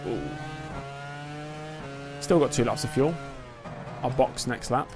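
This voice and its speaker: A young man casually commenting on his own racing game in a live stream, joking and self-mocking, quick to get excited. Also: medium pitch, over music. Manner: quickly excitable under pressure.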